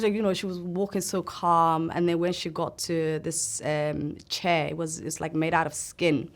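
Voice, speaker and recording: Woman from somalia telling her story in an interview, kinda low voice, earnest and halting. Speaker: interview guest. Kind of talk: personal testimony in a sit-down interview.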